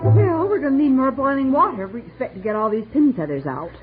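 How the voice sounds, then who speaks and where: A woman's voice: high-pitched, lively intonation, quick pace, music in the background. An actress playing a cheerful, motherly, fussy older aunt in a 1960s radio comedy serial. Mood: amused, chatty. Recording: dull, noisy old radio broadcast.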